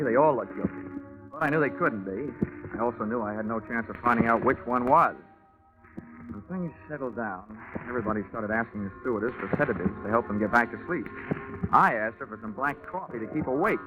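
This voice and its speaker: An actor playing a wry, hard-boiled American insurance investigator, dry and wisecracking, in old-time radio style. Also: male voice, medium pitch, quick pace, narrow, tinny audio, over music, close to an everyday voice. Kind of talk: old-time radio acting, first-person narration.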